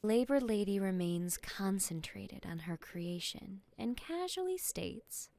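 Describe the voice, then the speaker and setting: A woman's voice: mid-range voice, animated, slow pace, gravelly, mellow. A woman giving scripted narration for a fantasy story.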